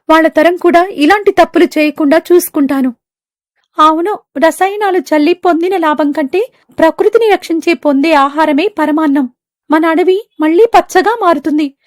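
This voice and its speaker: A woman puts on a little cartoon bird, earnest and easily awed. The voice is pushed very high and sounds dry and close, with an exclamatory, fable-style delivery. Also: no echo. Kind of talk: animated moral story dialogue.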